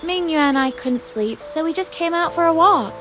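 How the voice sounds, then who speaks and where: A woman's voice: very high-pitched, expressive, normal speed, music in the background. A woman playing an earnest, trusting young female disciple in a fantasy game, speaking frankly and conversationally. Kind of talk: dubbed fantasy game cutscene acting.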